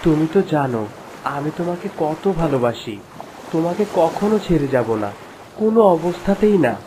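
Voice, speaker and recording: A woman's voice: sits low, lively intonation, normal speed, noisy background. A woman playing a young, loving, easily bewildered prince in a fairy-tale cartoon, earnest and questioning. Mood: tender. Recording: voice under clear background music.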